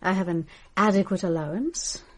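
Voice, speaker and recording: Actress as a husky, low-voiced bitter wife. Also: female voice, normal speed, lightly acted. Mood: bitter, scornful. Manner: caustic, haughty, sardonic. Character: bitter, scornful estranged wife, newly widowed. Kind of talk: radio drama acting.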